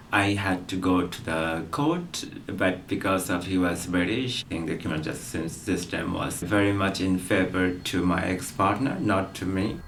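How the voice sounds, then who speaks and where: A deep-voiced man speaks earnestly, pausing now and then. He sits at some distance from the mic, and a faint ambient noise runs beneath his voice. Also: fairly bright tone. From Bangladesh. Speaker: interviewee. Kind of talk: documentary interview.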